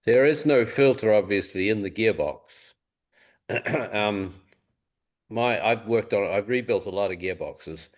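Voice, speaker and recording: A man's voice: on the low side, a little slow. A man explaining mechanical matters from hands-on experience on a group conference call. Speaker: experienced gearbox rebuilder.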